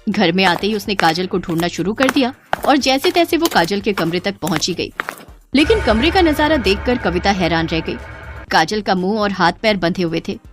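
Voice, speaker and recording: A woman narrating a cartoon story in a dramatic, expressive scripted way, with faint music behind. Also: mid-range voice, normal speed.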